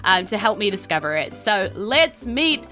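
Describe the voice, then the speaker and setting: A woman's voice: mid-range voice, big pitch swings, moderate pace, sharp tone, faint music behind. A woman hosting a tabletop game show in a casual, theatrical, giggly way. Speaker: host and game master of a podcast.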